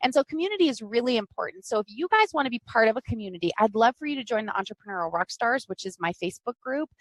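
Woman, live-stream host, bubbly and chatty, fairly high voice, dry close sound. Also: lively intonation, rapid-fire, no echo. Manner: bubbly, chatty, shouts out viewers. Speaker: podcast host. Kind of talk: live-stream talk show chat.

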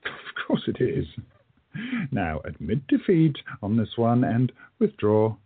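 A man acts a commanding older time traveler in a radio play. His voice is husky and lively in pitch, his manner measured and quietly menacing. The sound is thin, like a phone line. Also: exaggerated voice. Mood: wry, commanding. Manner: measured, stern, drawn-out admonishing.

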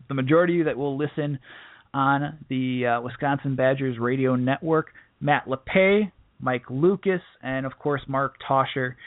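A man hosting a sports podcast, giving casual solo game preview commentary in a halting way, restarting phrases as he goes. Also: mid-range voice. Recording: muffled phone-like audio.

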